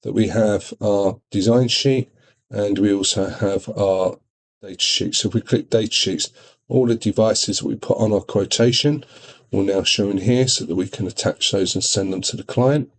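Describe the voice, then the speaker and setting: Male product demonstrator, lowish voice, informal step-by-step tutorial delivery. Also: moderate pace. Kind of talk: software tutorial walkthrough.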